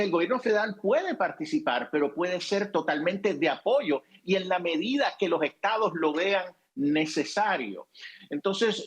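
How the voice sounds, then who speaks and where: Higher-pitched Puerto Rican man, political analyst. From Puerto Rico. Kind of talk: opinionated panel commentary.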